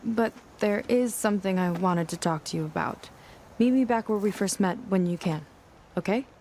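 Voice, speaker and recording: An actress playing a frank, warm-hearted young woman sailor in a video game cutscene, with a candid, dryly teasing, natural delivery. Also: medium pitch, normal speed, a little hiss or hum, natural voice.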